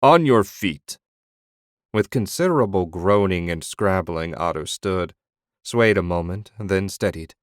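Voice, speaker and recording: A man narrating a fantasy audiobook in measured storytelling. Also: low-pitched, clear, resonant tone, no echo, clean studio sound.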